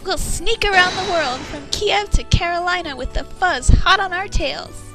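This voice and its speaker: A woman's voice: very high voice, moderate pace, music in the background. A woman playing an imaginative, perky teenage girl in an amateur game fandub, girlish and theatrical. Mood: conspiratorial, determined.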